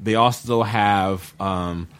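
Low-voiced man, halting news explainer. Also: fairly even intonation, fairly bright tone, clean tone. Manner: halting, repeats words while explaining. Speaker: show co-host. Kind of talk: casual panel talk, reading and explaining news.

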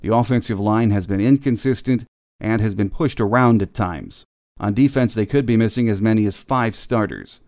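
A man's voice: fairly low pitch, moderate pace, a little mellow, no echo, studio quality. An American man hosting a sports podcast, delivering a scripted monologue. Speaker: podcast host.

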